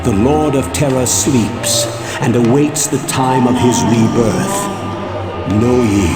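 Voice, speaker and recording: Slow, solemn man acting as dark fantasy chronicler. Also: mid-range voice, close to an everyday voice. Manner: grave, measured, archaic and ominous. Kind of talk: scripted dark fantasy game narration.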